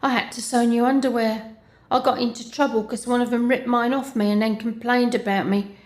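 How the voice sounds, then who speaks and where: An actress playing a coarse, chatty young prostitute who grumbles colloquially in audio drama dialogue. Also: female voice, on the high side, fairly even intonation, fairly quick, close to an everyday voice. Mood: chatty, grumbling. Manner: colloquial, complaining.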